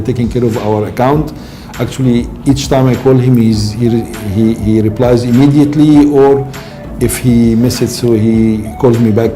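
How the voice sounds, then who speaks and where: A man gives an office testimonial through a lapel mic. His voice is dark and mellow, and he hesitates, circling back through fillers and restarts. Soft music plays underneath. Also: mid-range voice. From Egypt. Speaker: company finance manager. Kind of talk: interview testimonial. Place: office indoors.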